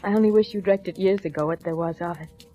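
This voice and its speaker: An actress playing an anxious, haunted wife in a 1940s radio suspense drama, with intense, dramatic delivery. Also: female voice, fairly low pitch, quick pace, dark tone, close to an everyday voice. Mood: tender, defiant. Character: anxious, haunted vaudeville wife longing for divorce. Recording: dull old radio recording with music.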